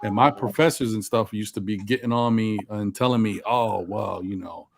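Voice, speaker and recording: Deep-voiced, articulate man. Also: moderate pace, vocal fry, no room sound, studio quality. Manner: precise, articulate enunciation. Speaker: panel participant. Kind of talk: reflective personal talk in a group discussion.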